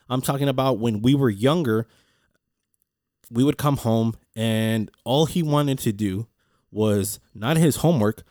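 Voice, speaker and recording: A man hosting his own podcast with a deep voice, talking earnestly in a rambling, reflective way, often repeating words and restarting his sentences. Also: darkish tone, dry recording, studio quality. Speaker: podcast host. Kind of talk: casual reflective monologue.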